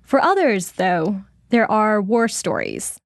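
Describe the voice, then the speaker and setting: A woman reporter narrating a feature story in a clear, measured scripted delivery. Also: medium pitch, huge pitch swings, no echo, studio quality. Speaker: radio reporter.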